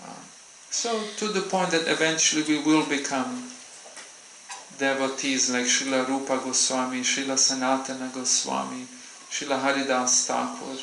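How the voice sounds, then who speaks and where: Male swami, temple talk: gravelly, bright voice, gentle and halting, roomy hall echo. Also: medium pitch, flat delivery, slight background noise. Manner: gentle, unhurried, with frequent hesitations. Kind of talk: devotional talk with audience questions.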